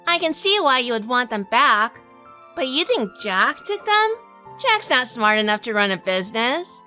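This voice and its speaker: A sassy, poised noir businesswoman, acted by a woman in an audio drama. She is wry and unflappable, with a high, bright voice that moves in lively swings. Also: normal speed, faint music behind. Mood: cool, challenging. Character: sassy, composed noir businesswoman telling her story.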